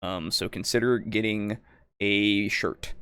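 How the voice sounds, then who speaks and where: A man's voice: on the low side, steady tone, slow, sharp tone, smooth. A man hosting a casual livestream chat in an informal, rambling way with some swearing. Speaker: show host.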